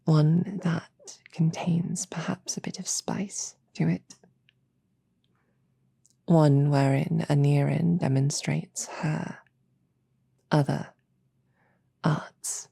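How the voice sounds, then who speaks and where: A soft-spoken woman with a low, mellow voice gives a gentle outro to listeners. Breath runs through the words, which often drop close to a whisper. Phrases come slowly and a little haltingly, with a coy touch. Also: steady tone, creaky, husky, no echo. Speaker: audio roleplay creator addressing listeners. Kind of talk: soft-spoken ASMR-style outro and plug.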